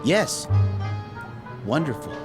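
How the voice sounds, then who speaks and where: A man's voice: mid-range voice, very animated, takes it slowly, over loud music. An actor playing a polite, literal young male robot ballplayer, obedient and stilted. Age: young adult.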